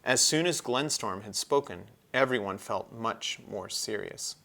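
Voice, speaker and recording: A man's voice: lowish voice, expressive, a little slow, vocal fry, bright, forceful tone. A man narrating a children's storybook as a read-aloud. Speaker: storybook reader.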